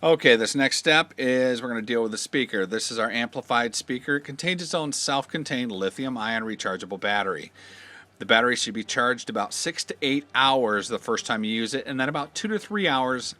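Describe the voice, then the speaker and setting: A man giving an informal, step-by-step product demonstration, explaining in a halting way as he goes. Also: medium pitch, animated, bright, forceful tone. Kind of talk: hands-on instructional demonstration.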